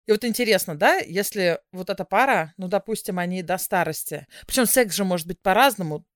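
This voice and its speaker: A woman co-hosting a podcast, talking in a lively, chatty way and laughing easily. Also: mid-range voice, brisk, dry recording, studio quality. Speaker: podcast co-host. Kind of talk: casual chat.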